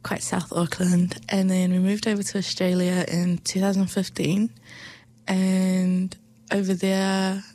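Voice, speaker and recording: A young woman with a New Zealand accent, reciting spoken word poetry and reflecting on it in a soft, slow, measured way with hushed phrases. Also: fairly low pitch.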